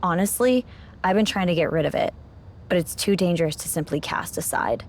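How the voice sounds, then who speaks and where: A woman playing a seasoned wrestling champion in a video game, speaking gravely and cautioning like a keeper of dangerous secrets. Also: fairly low pitch, expressive, brisk, a little mellow, a little hiss or hum, close to an everyday voice. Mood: solemn. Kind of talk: cinematic game dialogue.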